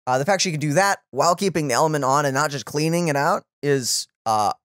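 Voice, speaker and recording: A young man giving casual reaction commentary, animated and often searching for words. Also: on the high side, quick pace, no echo, studio quality. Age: young adult. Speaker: reaction channel host. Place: small room at home. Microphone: boom-arm microphone, close.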